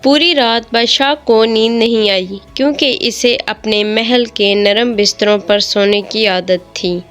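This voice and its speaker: A woman's voice: fairly high pitch, unhurried, fairly bright tone. Woman narrating a story over music. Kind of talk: scripted moral story narration. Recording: music behind the voice.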